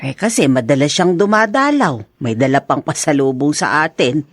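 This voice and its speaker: Actress as middle-aged mother, low female voice, animated, inquisitive, hopeful, audio drama. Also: moderate pace, no room sound, studio quality. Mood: curious, gentle. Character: hopeful, inquisitive older mother of a grown daughter. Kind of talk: Filipino audio drama acting.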